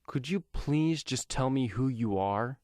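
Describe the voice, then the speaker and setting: A man's voice: mid-range voice, lively intonation, a little slow, darkish tone, no echo, clean studio sound, pitched above the performer's own voice, natural voice. A man voicing a confused teenage male vigilante who asks questions in an audio comic story. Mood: confused, apologetic. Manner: bewildered, questioning.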